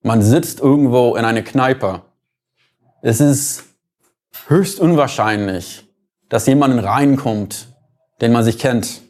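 A man with an American accent lectures in an ordinary mid-range voice. He talks thoughtfully and pauses now and then to find his words. He works through his points with everyday examples. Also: mid-range voice, a little mellow. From United States. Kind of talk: explanatory lecture.

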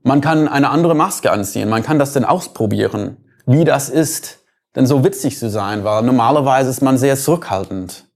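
A man's voice: medium pitch, lively intonation, normal speed, a little mellow. A man from the United States with an American accent, giving an explanatory lecture, thoughtful and sometimes searching for words.

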